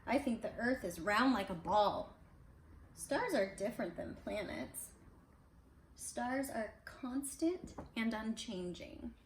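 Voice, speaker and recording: A woman with a fairly high voice reads a children's book aloud. She slips between the text and chatty asides to a child. The room is echoey, with faint noise behind her. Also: animated, takes it slowly. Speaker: parent reading storybook aloud. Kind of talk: casual read-aloud story time for kids. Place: indoors at home. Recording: roomy echo, clear background noise.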